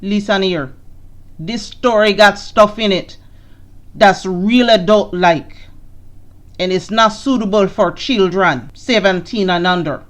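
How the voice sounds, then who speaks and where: Female announcer, sassy and blunt, unhurried delivery, medium pitch. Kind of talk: content warning, sassy announcement.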